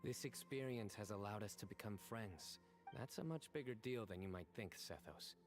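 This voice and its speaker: An actor playing a composed, reserved young general in a video game, speaking formally and with measured precision. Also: male voice, on the low side, expressive, lightly acted. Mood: calm, measured. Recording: game audio over background music.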